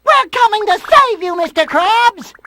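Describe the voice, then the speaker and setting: An actor voicing an eager, naive young male sea sponge in a cartoon video game, earnest, excitable and childlike. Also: very high-pitched, a little slow, noisy background. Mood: excited, urgent.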